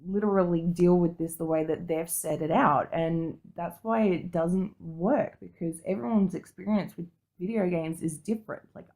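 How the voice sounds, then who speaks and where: A woman's voice: fairly low pitch, moderate pace, a little mellow, poor audio. A woman co-hosting a podcast, talking casually in an opinionated, informal way with some swearing. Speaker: podcast co-host. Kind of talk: casual panel discussion.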